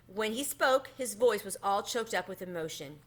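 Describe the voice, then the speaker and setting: A woman reading a children's novel aloud as an expressive storyteller. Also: mid-range voice, moderate pace. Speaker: storybook reader.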